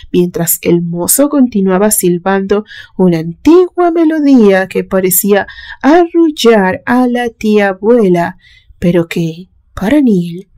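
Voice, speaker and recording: A woman reads a gothic suspense story as its narrator. Her voice is mellow and medium in pitch, and her delivery is solemn and dramatic. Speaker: narrator, literary channel host.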